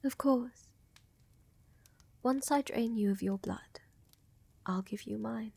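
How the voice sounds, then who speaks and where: Woman playing a slow, breathy, seductive vampire countess. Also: a little high, no room sound, full character voice. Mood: tender, reassuring. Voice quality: soft, breathy, often dropping to a whisper. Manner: slow, teasing, assured, formal wording. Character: seductive, tender vampire countess soothing her lover. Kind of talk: intimate roleplay ASMR, soft dominant. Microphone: close microphone, dry.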